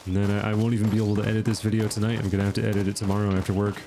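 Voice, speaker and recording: A man's voice: on the low side, monotone, very fast. Chatty man with a stuffy nose. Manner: chatty, thinking aloud with fillers. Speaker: gaming channel host. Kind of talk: casual gameplay commentary.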